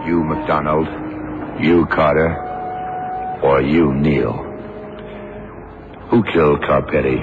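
Very deep, grave brooding detective. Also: male voice, fairly even intonation, over music, full character voice. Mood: grave, deliberate. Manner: deliberate, dramatic, terse. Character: grave blind male detective, shrewd, brooding, commanding. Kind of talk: 1970s radio drama acting. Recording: muffled old radio recording, clear noise.

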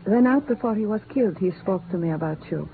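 Radio drama: secretive, earnest woman, measured formal delivery, narrow noisy vintage recording. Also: medium pitch, expressive, gravelly. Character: guarded, weary Latin woman, earnest and secretive. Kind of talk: 1950s radio acting.

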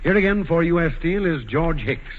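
A man's voice: fairly high pitch, animated, moderate pace, phone-quality sound. A man announcing a sponsored radio drama in a formal 1940s broadcast style. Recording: thin, band-limited 1940s radio broadcast, faint noise.

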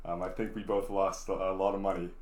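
A man in his early twenties hosting a casual interview podcast, relaxed and jokey in manner. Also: sits low, fast talker, crowd noise. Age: 20s. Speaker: podcast host. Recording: roomy echo.

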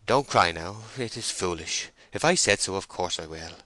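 Male reader as storybook sergeant: suspicious, noncommittal, offhand, firm bright delivery, dry sound. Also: low-pitched, expressive, moderate pace, no echo, exaggerated voice. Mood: placating, evasive. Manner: guarded, dismissive, noncommittal.

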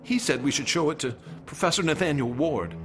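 An actor playing a troubled, earnest young student in a radio drama, speaking hesitantly and with feeling. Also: male voice, fairly high pitch, lively intonation, fairly quick, fairly bright tone, soft background music.

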